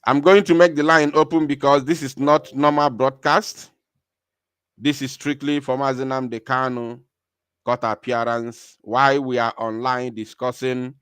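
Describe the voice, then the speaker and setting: A man's voice: mid-range voice, fairly bright tone, clear, resonant tone, dry recording, studio quality. A man with a Nigerian accent hosting a live political call-in broadcast, speaking emphatically and repeating phrases for stress.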